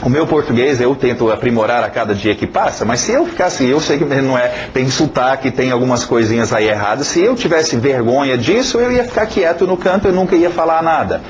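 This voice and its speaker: A man's voice: medium pitch, expressive, brisk, hardly any pauses. A man teaching an informal spoken lesson, explaining each point and checking in with a questioning "ok?". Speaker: English teacher. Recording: dull sound with faint hiss.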